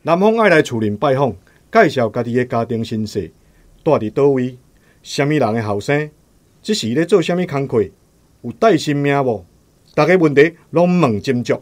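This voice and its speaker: A man playing a village father with a Taiwanese accent in a radio play. He is open-minded yet careful, laying things out in a measured, instructive way at a middling pitch. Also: lively intonation, natural voice. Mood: measured, firm. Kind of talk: radio drama acting.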